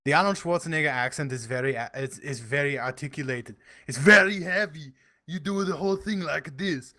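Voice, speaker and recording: Bright, joking male podcast co-host. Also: a little high, a little fast, no room sound, studio quality. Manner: joking, boastful, crude. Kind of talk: casual podcast banter.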